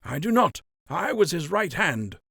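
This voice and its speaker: A man voicing an older gray male rabbit in an audiobook, proud and formal, with a measured, cutting wit. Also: sits high, sing-song, pushed and bright, husky, no echo, clean studio sound, voice pushed up, exaggerated voice. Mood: rising anger.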